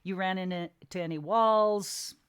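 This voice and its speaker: A woman hosting a casual interview, putting questions and comments in an informal, halting way. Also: medium pitch, clean tone.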